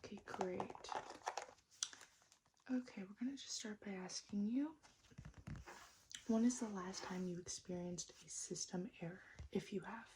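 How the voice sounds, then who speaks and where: Softly whispering ASMR woman. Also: mid-range voice, measured pace, pauses often, noisy background. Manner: gentle, reassuring, repeats words in trailing whispers. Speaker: ASMR performer role-playing a robot technician. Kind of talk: soft-spoken and whispered ASMR roleplay.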